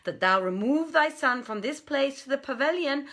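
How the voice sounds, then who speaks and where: A woman voicing a wise male wazir who gravely counsels his king in formal, emphatic, declamatory storybook language. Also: high voice, animated, normal speed, fairly bright tone, voice pushed up. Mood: earnest, urgent. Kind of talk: storybook reading of an old tale.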